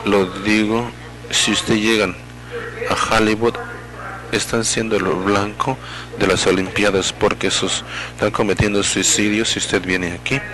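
A man with a very low voice works as a simultaneous interpreter at a public meeting. His delivery comes in halting fragments, and he often corrects himself mid-phrase. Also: level tone, low-quality recording.

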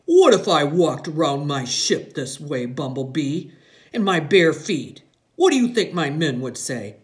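A narrator acts a naval officer father for a story. The delivery is big and forceful in volume, jovial and authoritative, with animated swings of pitch. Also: measured pace. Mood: cheerful. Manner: big-voiced, hearty, authoritative.

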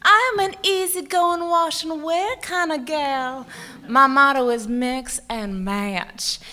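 Woman voicing a high, unhurried southern dress character for a storybook; easygoing, sweet-talking, echoey hall. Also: full character voice. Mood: soothing. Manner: warm drawl, calls people honey and sugar. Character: friendly easygoing paisley dress, female, southern.

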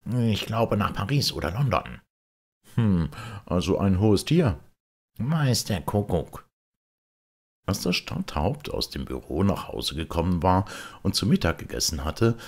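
A male audiobook narrator reads scripted literature expressively. His voice is low and creaky, with a husky edge and lively, wide-ranging intonation. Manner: expressive storytelling.

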